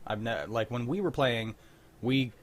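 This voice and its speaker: A man's voice: medium pitch, a little slow. Wry man chatting over background noise. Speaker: host of a livestream. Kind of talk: casual chat.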